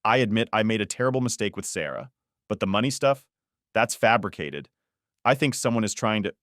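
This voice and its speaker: A man's voice: on the low side, lively intonation, fairly quick, a bit bright, dry recording, clean studio sound. A man playing a guilty male lover in an audiobook drama, strained, hesitant and pleading. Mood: stammering, defensive. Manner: hesitant, stammering, urgent.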